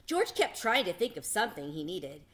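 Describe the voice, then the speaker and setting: A middle-aged woman reading a children's novel aloud with expressive, dramatic delivery. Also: mid-range voice, moderate pace. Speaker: read-aloud storyteller. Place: small home room with bookshelves.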